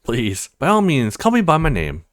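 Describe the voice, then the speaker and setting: A man's voice: mid-range voice, huge pitch swings, moderate pace, fairly bright tone, no room sound, clean studio sound. Man reading as a smug, teasing female professor. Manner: suave, needling. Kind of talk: visual novel character reading.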